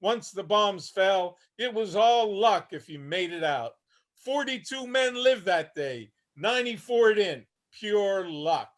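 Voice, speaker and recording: A man's voice: sits high, animated, slow pace. A man acting a haunted, homeless older Vietnam veteran, weary and bitter, telling his story plainly in a staged play reading. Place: online video call reading.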